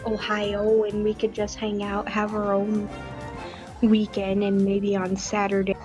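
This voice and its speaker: A woman's voice: medium pitch, completely flat delivery, measured pace, low-quality recording. A woman chatting casually as a stream host, telling stories in an informal, rambling way with some swearing. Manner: filler-heavy, informal storytelling, swears. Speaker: livestream host. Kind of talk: casual chat. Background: music.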